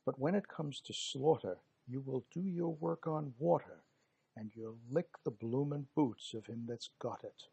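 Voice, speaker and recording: Man playing a creaky, theatrical old-time British soldier. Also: medium pitch, measured pace, dark tone, exaggerated voice. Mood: reminiscing. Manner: theatrical, emphatic, dropped g's and slangy phrasing. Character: gruff working-class British soldier of colonial India, reminiscing. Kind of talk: dramatic poetry recitation.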